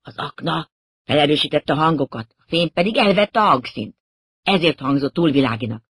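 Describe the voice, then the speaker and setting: A man voicing a gruff, worn-out male companion in an audiobook, explaining slowly and bluntly. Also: a little high, expressive, fairly bright tone, no room sound, studio quality.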